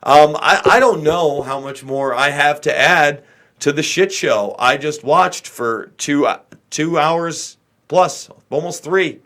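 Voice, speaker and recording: A man's voice: fairly high pitch, slow, pushed and bright. Blunt, exasperated American man talking politics. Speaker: political talk show host. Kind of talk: casual unscripted political commentary.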